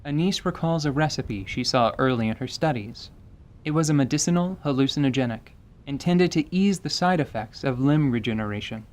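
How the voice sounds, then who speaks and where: Male narrator, medium pitch, scripted dramatic storytelling, fantasy audio drama. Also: lively intonation, brisk, darkish tone.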